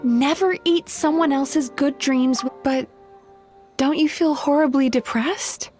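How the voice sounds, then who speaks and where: A woman voicing a gentle, polite young dream-eating spirit who works as a healer in a video game, speaking courteously and with soft, apologetic hesitation. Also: high voice, slow pace. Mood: apologetic, earnest. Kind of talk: English video game voice acting. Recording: background music under voice.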